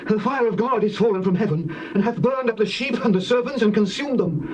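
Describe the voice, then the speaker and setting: An actor playing a panicked servant urgently reporting catastrophe in a theatrical scripture drama. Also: male voice, high-pitched, brisk, close to an everyday voice. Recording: distant voice on noisy tape.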